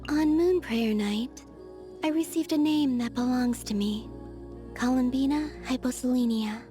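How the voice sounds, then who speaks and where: Soft, high-voiced serene moon maiden. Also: female voice. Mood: tender, grateful. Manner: gentle, unhurried, wistful. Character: serene, lonely young moon maiden, gently otherworldly. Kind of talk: scripted video game character dialogue. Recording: studio voice over background music.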